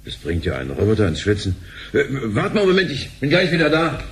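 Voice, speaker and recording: A man playing an excitable, chummy businessman in a radio drama, with a theatrical way of talking. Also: medium pitch, fast, slight background noise. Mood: flustered. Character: jovial, excitable sidelined businessman with gambling weakness. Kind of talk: conversational radio drama acting.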